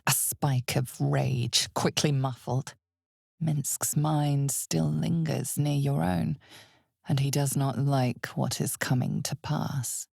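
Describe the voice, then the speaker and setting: A woman voicing an ominous, knowing narrator of a dark fantasy game, speaking in a measured, dramatic, often hushed way. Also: low-pitched, gravelly, dry recording, studio quality, exaggerated voice.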